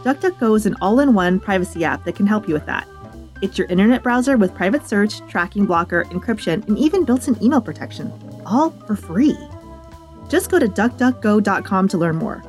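A woman's voice: mid-range voice, lively intonation, a little fast, darkish tone, faint music behind. A woman host reading sponsor and outro copy in an upbeat, friendly scripted way. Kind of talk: scripted ad read.

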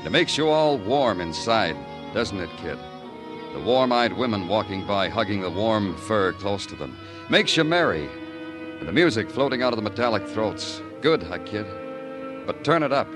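A brooding, low-voiced city detective, played by a man, narrates an old radio drama with bitter, poetic sarcasm. Music plays clearly behind him on the vintage recording. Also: expressive, normal speed, close to an everyday voice. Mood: brooding, sardonic. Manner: sardonic, poetic second-person delivery.

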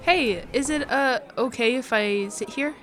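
A woman's voice: a little high, measured pace, fairly bright tone. A woman playing a friendly, easygoing teenage girl with a casual manner in a fiction podcast. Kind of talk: audio fiction podcast acting.

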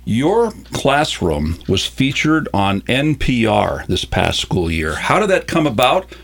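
A man's voice: mid-range voice, huge pitch swings, slow pace, vocal fry, a bit bright. An older man interviewing a guest, asking conversational questions with chatty, self-deprecating asides. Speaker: interviewer, local show host.

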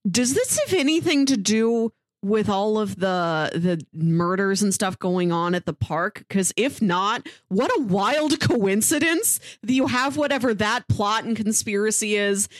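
A woman's voice: medium pitch, lively intonation, a little slow, dry recording, clean studio sound. A woman co-hosting a podcast, talking through a review in a casual, conversational way. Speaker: podcast co-host.

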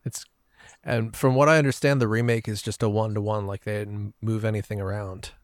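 A man speaks in a fairly low voice with very little room sound around it. He talks casually, like a panelist in a relaxed podcast discussion. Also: fairly quick, no room sound, studio quality.

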